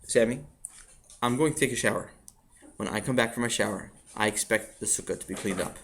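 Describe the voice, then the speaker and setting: A man's voice: fairly low pitch, brisk, creaky, fairly bright tone, pitched below the performer's own voice. A man voicing a firm, harried father scolding his young son. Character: stern but harried father of a boy.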